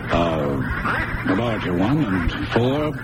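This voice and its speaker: An excitable professor in an old radio drama, eager and emphatic. His intonation swings widely, and the recording is clearly noisy. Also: low voice, very slow, exaggerated voice. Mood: eager, probing. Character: excitable male professor investigating the paranormal.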